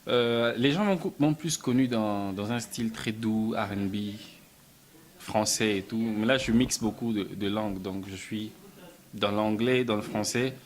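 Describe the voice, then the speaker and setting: A man from Belgium answering interview questions about his music and films, soft-spoken, often stumbling and repeating words. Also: on the low side, unhurried. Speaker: musician and film writer, interview guest.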